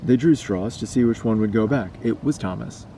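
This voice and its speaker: A man's voice: on the low side, moderate pace, dark tone. A man reading a scary story aloud as a storyteller. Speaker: storyteller and vlogger. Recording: distant mic, noisy background.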